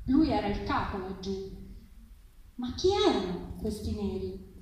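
A woman giving an expressive live reading of a literary story to a festival audience. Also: medium pitch, a little slow. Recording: very echoey, distant, faint noise.

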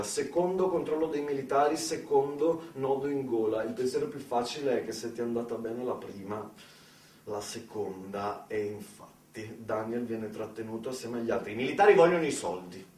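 A man performing a theatrical storytelling monologue in a conversational, ironic way, with dramatic pauses and emphasis. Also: mid-range voice, darkish tone, a little hiss or hum. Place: echoey indoor performance space, mic at a distance. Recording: echoey room, distant microphone.

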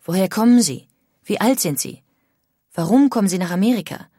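An actress playing a woman emigrant who calmly recalls her arrival, read as part of a documentary radio play. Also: mid-range voice, a little fast, slightly rough, no echo, studio quality. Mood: recalling.

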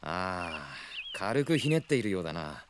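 A man playing a gruff, proud, guarded young knight in a radio drama, with a curt, cool manner. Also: low voice, big pitch swings, moderate pace, heavy background noise. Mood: wary, businesslike. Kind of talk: Japanese radio drama acting. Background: prominent music.

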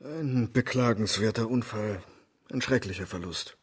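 A man in a radio drama playing an oily, lecherous doctor who talks smoothly and fawns on authority. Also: lowish voice, measured pace. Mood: fawning, formal. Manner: smooth-talking, obsequious, formal.

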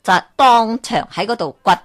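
A woman with a Hong Kong Cantonese accent tells a folk tale in a lively way. She often turns to the listener with rhetorical questions. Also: on the high side, moderate pace. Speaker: storyteller.

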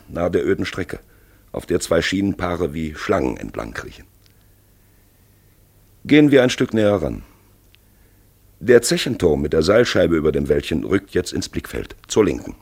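A man playing a brooding, probing narrator in a 1950s radio play, with emphatic phrases and hushed asides. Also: deep voice, very animated, normal speed, pauses often, full character voice. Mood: intense, reflective. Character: brooding male narrator-guide, probing and dramatic. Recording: husky-sounding 1950s radio recording.

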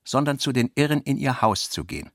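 A man's voice: medium pitch, sing-song, a little fast, creaky, a bit bright, dry recording. Narrator for literary radio play: male, mid-range voice, scripted prose with quoted speech, dry studio sound.

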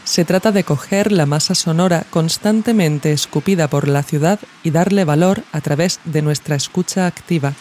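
A narrator reads a scripted audio essay in a measured, reflective way, phrasing each thought deliberately. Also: mid-range voice, darkish tone, a little hiss or hum. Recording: music behind the voice. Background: music.

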